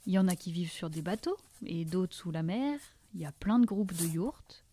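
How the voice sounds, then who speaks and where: A woman's voice: fairly low pitch, animated, moderate pace, dark tone. A woman playing an enthusiastic nomadic seed-bearer, chatty and full of marvel, in an audio drama. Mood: enthusiastic, marvelling. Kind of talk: fiction podcast dialogue.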